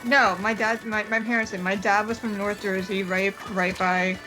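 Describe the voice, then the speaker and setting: A woman's voice: medium pitch, level tone, normal speed, pushed and bright, soft background music, low-quality recording. A woman in her twenties chatting casually on a gaming stream with no regional accent, giving brief, matter-of-fact answers. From raised in the US South, born in Hong Kong.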